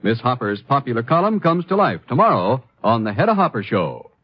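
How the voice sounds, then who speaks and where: A man's voice: medium pitch, sing-song, brisk, soft background music. A man with an American accent reading scripted promos as a 1950s radio network announcer. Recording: dry, muffled old radio broadcast.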